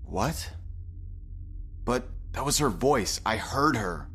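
An injured, embittered former captive , acted by a man in a sci-fi audio drama. He speaks very slowly and intensely in a mid-pitched voice, with some noise behind him. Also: lively intonation, a bit bright. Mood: dazed, wary.

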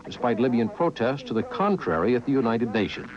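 A man's voice: mid-range voice, expressive, brisk, crowd noise. A man with an American accent narrating a scripted television news report. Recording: muffled old videotape, clear background noise.

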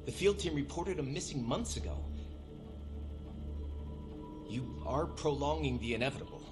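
A man portrays a skeptical lab scientist in a game cutscene. He sounds dry, worried and cautionary at medium pitch, with very loud music behind. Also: lively intonation, a little fast, natural voice. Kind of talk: video game cutscene acting.

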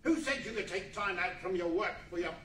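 A man's voice: high voice, lively intonation, a little fast, pushed and bright. A man playing a feisty, miserly old man in a staged radio play, scolding and grumbling with sarcasm. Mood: scolding, crabby. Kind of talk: stage readers' theatre as 1940s radio play. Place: large indoor meeting room with seated audience. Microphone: far camera. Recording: echoey hall from far off, faint noise.

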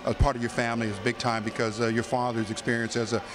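A man's voice: medium pitch, flat delivery, fast talker, over music. An older man, a sports reporter, asking long, rambling questions full of "uh" hesitations, into a handheld mic in an arena concourse. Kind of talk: post-game interview. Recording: crowd noise behind.

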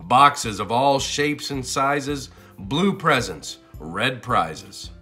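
A man reading a children's storybook aloud in an expressive, sing-song and deliberate way. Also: medium pitch, faint music behind. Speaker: storybook narrator.

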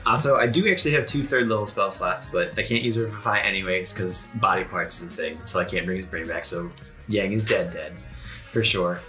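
A man chats casually as a tabletop game player. His voice is fairly low but bright and sharp, with faint music in the background. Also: a little fast.

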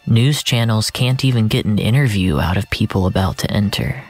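A narrator reading scripted first-person fiction in a measured, literary storytelling manner. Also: medium pitch, slightly rough. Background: music.

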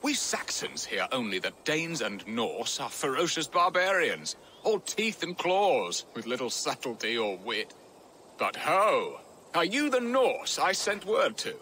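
An actor playing a jovial, theatrical middle-aged Saxon lord in a video game, flowery and flippant. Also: male voice, a little high, sing-song, unhurried, pushed and bright, a little hiss or hum, heavily acted. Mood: intrigued. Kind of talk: video game cutscene acting. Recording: echoing reverb with game music behind.

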